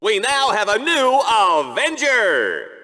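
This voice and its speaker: A man's voice: sits high, wildly swooping pitch, slow, pushed and bright, heavily acted. An actor playing a flamboyant, vain, manic male announcer in a video game, commentating in a hammy, mock-dramatic way. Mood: showy, excited. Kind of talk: over-the-top video game sports commentary.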